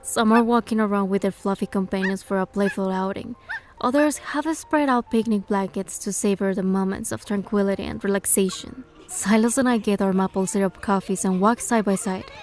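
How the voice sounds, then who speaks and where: An actress playing a curious young woman who narrates her date in a game, gentle, measured and playful. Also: mid-range voice, fairly even intonation, close to an everyday voice. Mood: tender, narrating. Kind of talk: scripted first-person game narration and dialogue.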